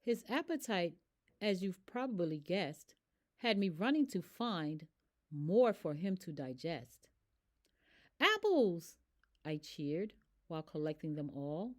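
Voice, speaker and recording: A woman reading a rhyming children's story aloud, expressive and emphatic as a storyteller. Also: on the low side, a little slow.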